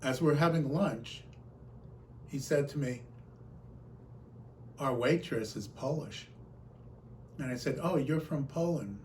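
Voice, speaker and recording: A man giving a talk as a personal storyteller, recounting remembered exchanges in an animated manner. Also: medium pitch, slow, long pauses, slight background noise. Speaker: conference speaker.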